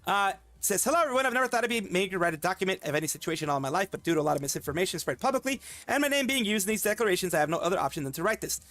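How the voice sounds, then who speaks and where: A man's voice: sits high, animated, a bit bright, no echo. A man streaming commentary, reading a document aloud and stopping often to comment, with fillers and restarts. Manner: fast, halting, with frequent fillers and restarts.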